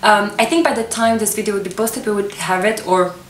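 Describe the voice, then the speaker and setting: A woman in her twenties, a native Arabic speaker, casually recommending books to camera in an expressive, gushing, emphatic manner. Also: medium pitch, a little fast, a little hiss or hum. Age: 20s. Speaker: book reviewer and vlogger. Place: small furnished room with books, indoors. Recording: echoey room, distant camera microphone.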